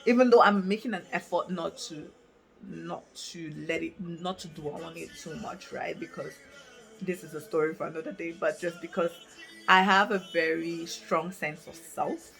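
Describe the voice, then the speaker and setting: A woman's voice: fairly low pitch, fairly even intonation, moderate pace, creaky, music in the background, poor audio. A woman in her late twenties from Africa, talking candidly to camera in a casual vlog, thinking aloud with lots of fillers and restarts. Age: 20s. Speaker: vlogger. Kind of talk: casual reflective talk to camera. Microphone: camera mic, reading from notes.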